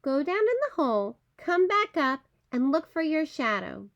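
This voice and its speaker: A woman voicing a frustrated, ailing male groundhog in a children's storybook, giving expressive instructions. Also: sits high, normal speed, lo-fi sound, full character voice. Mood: instructing. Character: sick, frustrated male groundhog seeking a stand-in.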